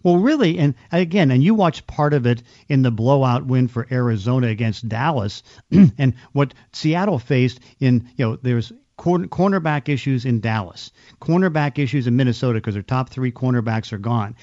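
A man's voice: mid-range voice, lively intonation, moderate pace, darkish tone, dry recording. A man hosting a sports podcast, giving conversational, explanatory football analysis in loosely linked phrases. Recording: slightly dull, dry lo-fi sound.

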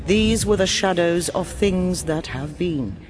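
Radio drama: unyielding male spirit, slow, highish, solemn, commanding. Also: soft background music. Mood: stern. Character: stern, unyielding spirit showing shadows of the past.

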